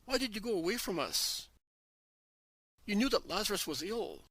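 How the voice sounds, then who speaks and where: A man's voice: on the high side, lively intonation, unhurried, sharp tone, slightly rough, no echo, clean studio sound, full character voice. A man voicing a grieving young woman disciple who pleads through sobs in a devotional story reading. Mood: anguished pleading. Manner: anguished, pleading, breaking cries.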